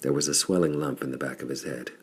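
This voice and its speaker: Male audiobook narrator, very deep bass with a rough husk, scripted pulp adventure storytelling. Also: fairly even intonation, fast. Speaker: audiobook reader.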